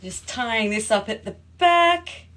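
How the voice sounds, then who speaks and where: A woman's voice: fairly high pitch, expressive, takes it slowly, a bit bright. Chatty Australian woman live-streaming. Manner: chatty, greets viewers while changing costumes. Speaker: livestream host. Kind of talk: casual live stream chat. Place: home room.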